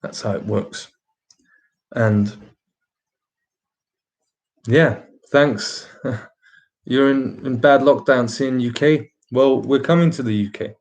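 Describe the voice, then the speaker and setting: A man's voice: mid-range voice, takes it slowly, long pauses, a little mellow. A man with a slightly British accent hosting a casual livestream, putting emphatic rhetorical questions to his viewers and laughing often between remarks. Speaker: livestream host.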